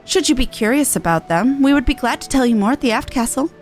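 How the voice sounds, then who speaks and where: A woman's voice: high-pitched, animated, fast. A woman voicing a gracious, formal festival envoy in a fantasy game, with courteous old-fashioned phrasing. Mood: explaining proudly. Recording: game music behind the voice.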